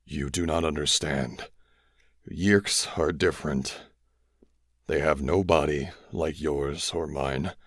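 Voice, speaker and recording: A man voicing a gentle, dying alien who speaks slowly and gravely. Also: extremely low pitch, fairly even intonation, dry recording, studio quality, pitched below the performer's own voice, heavily acted. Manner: slow, solemn, measured.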